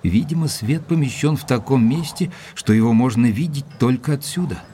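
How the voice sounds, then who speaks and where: An actor playing a composed gentleman narrating his own adventure in a measured way for an audio drama. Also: medium pitch, slight background noise, natural voice. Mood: thoughtful. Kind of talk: scripted first-person audio drama narration.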